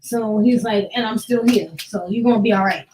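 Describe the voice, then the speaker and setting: A woman's voice: medium pitch, fairly quick, darkish tone, a little hiss or hum, poor audio. A woman hosting a casual podcast chat about celebrity news in a slangy, emphatic way with some swearing. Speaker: podcast host.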